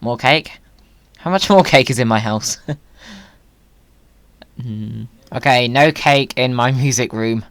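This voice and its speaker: A gaming video host chats away in a medium-pitched voice, recorded close and dry. The delivery is playful, whimsical and excitable, like someone happily showing viewers around a game. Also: takes it slowly, pauses often, dry recording.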